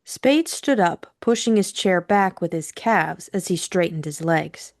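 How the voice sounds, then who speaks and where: Low-voiced woman narrator, close dry recording. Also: animated, measured pace, no echo. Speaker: co-host and audiobook narrator. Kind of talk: scripted introduction and novel narration.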